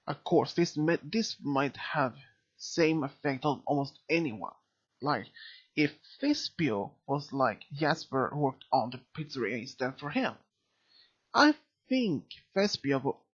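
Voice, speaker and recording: Slow, halting reviewer with lively pitch swings. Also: slightly rough. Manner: halting, searching for words. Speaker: video reviewer. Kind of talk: unscripted review commentary. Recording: dull, lo-fi mic sound.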